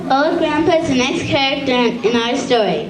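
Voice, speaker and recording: A female narrator reading a children's story aloud from a script, haltingly, with stumbles and repeated words. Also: sits high, expressive, loud music behind the voice. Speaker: narrator of a shadow puppet show. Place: echoey room with an audience. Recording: echoey room with loud audience noise. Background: loud crowd.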